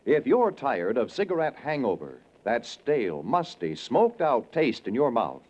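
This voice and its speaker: A man reading a 1940s radio advertisement with emphatic, deliberate phrasing. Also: mid-range voice, very animated, darkish tone. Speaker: commercial announcer. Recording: dull 1940s radio recording.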